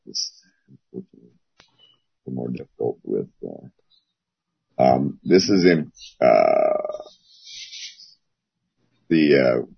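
Hoarse man, Bible class teacher, very low husky voice, rambling delivery, dull lo-fi call audio. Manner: rambling, with many pauses. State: hoarse, losing his voice.

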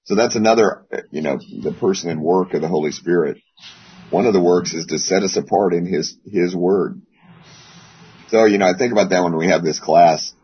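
A hoarse man losing his voice, teaching a Bible class in a rambling way with many pauses. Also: sits low. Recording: dull lo-fi audio.

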